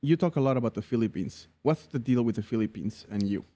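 Animated man hosting a studio podcast. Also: mid-range voice, fast talker. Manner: animated storyteller, acts out voices when telling stories. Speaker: podcast host. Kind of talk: casual interview chat. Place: bright studio room. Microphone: studio mic on boom arm, headphones.

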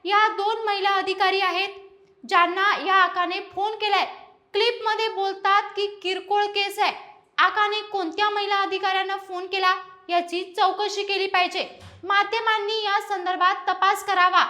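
Very high, bright woman news presenter. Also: little pitch movement, normal speed. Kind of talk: scripted news explainer narration.

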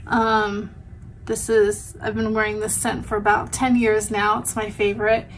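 A woman's voice: medium pitch, monotone, a little slow, a little hiss or hum. A woman in her thirties with a sore throat and a stuffed-up head cold, chatting casually at home to a close camera, rambling with lots of "um" and "like". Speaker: vlogger. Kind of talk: casual chat. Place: small home bedroom, indoors. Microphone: camera in front at close range.

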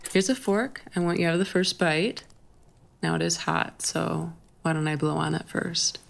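A woman playing a playful, flirty, tender best friend with a crush in an intimate, halting ASMR roleplay. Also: deep voice, gravelly, heavily acted. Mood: playful, teasing. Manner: teasing, halting, giggly delivery. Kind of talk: intimate ASMR roleplay, acted one-sided conversation.

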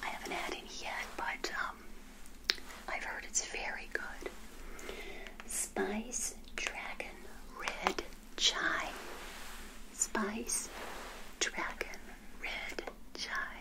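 An older woman playing a friendly, naive witch in a gentle ASMR roleplay, whispering and softly repeating her phrases. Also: mid-range voice, fairly even intonation, very slow, gravelly, pauses often, low-quality recording. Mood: welcoming. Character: friendly, eager, naive lonely witch serving tea.

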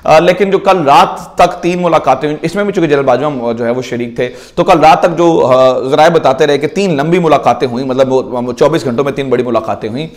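A man's voice: fairly high pitch, a little fast, hardly any pauses. A man in his thirties with a Pakistani accent, giving informal political news commentary to camera in an explanatory way, mixing in English words. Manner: explanatory, with rhetorical questions and English words mixed in. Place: indoor room in front of wooden doors.

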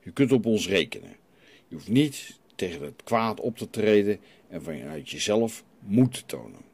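Guy playing a defiant stern priest in an audio drama, low voice, very animated, preachy and challenging. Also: moderate pace, creaky, husky, heavily acted. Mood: scornful. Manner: challenging, preachy, rhetorical questioning. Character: stern, defiant renegade priest hearing confession. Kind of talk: radio play dialogue. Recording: light background noise.

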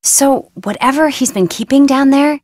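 A woman's voice: high voice, moderate pace, a little mellow, dry recording, studio quality, lightly acted. A woman playing a young, blunt, stubborn surfer girl in a video game, talking in casual, flippant slang. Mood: confiding, matter-of-fact.

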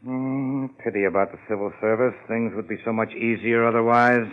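An actor playing a coolly menacing crime boss with formal, condescending politeness in a 1950s radio drama. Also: male voice, medium pitch, fairly even intonation, brisk, phone-quality sound, close to an everyday voice. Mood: wry. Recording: thin, band-limited 1950s radio recording.

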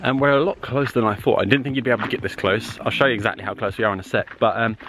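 A man's voice: lowish voice, very fast, background noise. A young man chatting casually to a camera held at arm's length, narrating a travel vlog outdoors and in a campervan, with loose fillers. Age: young adult. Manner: relaxed, chatty, hesitant with fillers. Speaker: travel vlogger. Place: outdoors at a desert rock site and in a campervan.

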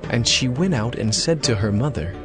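A man's voice: medium pitch, lively intonation, normal speed. Solemn male scripture narrator over music.

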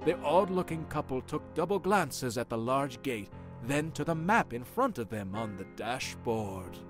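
A man's voice: mid-range voice, sing-song, a little slow. A man playing a spooky narrator, telling a scripted horror tale with theatrical, ominous phrasing. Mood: ominous storytelling. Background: music.

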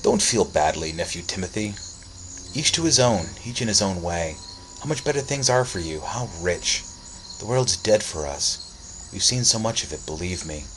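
A man voicing Uncle Einar, an old winged vampire who consoles his nephew gently and wearily. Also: low voice, lively intonation, moderate pace, a little hiss or hum, poor audio. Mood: consoling. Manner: gentle, consoling, world-weary.

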